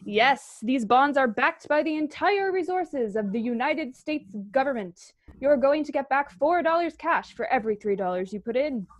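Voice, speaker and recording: A woman's voice: sits high, expressive, moderate pace, no room sound. A woman announcer reading a persuasive, declarative appeal in the style of 1940s radio.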